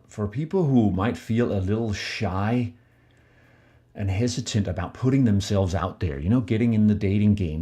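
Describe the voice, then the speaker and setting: Mellow-voiced man podcasting. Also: fairly low pitch. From Denmark. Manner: relaxed, conversational, with many fillers. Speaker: podcast co-host. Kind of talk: casual podcast talk.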